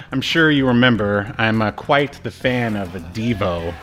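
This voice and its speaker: A man's voice: fairly low pitch, lively intonation, unhurried, a bit bright. A middle-aged man co-hosting a podcast, talking about old video games in a rambling, informal, amused way. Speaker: podcast host. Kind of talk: casual retro game review chat.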